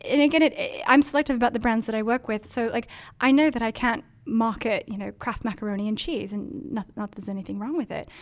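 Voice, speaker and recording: Chatty, earnest woman with a higher voice. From Denmark. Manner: chatty, earnest, many ums and likes. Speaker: marketing executive. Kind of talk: interview answers.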